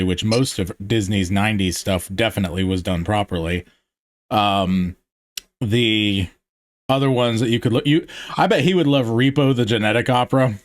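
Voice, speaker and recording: A man's voice: lowish voice, no room sound. A man hosting a podcast in casual chat, rambling and hesitant, with lots of fillers. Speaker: podcast host.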